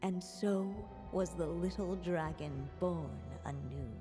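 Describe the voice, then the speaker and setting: A woman playing a solemn storybook narrator in a video game, telling a fairy tale in a measured way. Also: fairly low pitch. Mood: solemn, hopeful. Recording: long reverb, music underneath.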